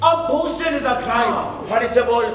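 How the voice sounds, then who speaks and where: A man's voice: extremely high pitch, moderate pace, background noise. A young man on stage playing a stern, impatient school principal with a commanding, exclamatory delivery. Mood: stern, dismissive. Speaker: stage actor in a school play. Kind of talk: projected stage drama acting. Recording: echoey hall, distant mic.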